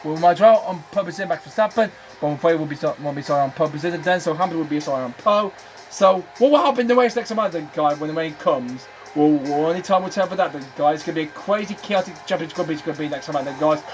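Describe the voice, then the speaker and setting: A man's voice: fairly high pitch, lively intonation, racing, soft background music. A man hosting a gaming channel, commenting on a racing management game while losing his voice, with a repetitive habit of saying "then" and "I'm afraid".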